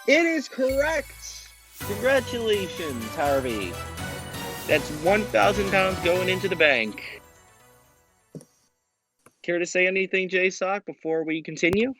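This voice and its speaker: A man hosting an amateur quiz show, upbeat and brisk as he announces questions. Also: sits high, lively intonation, music in the background.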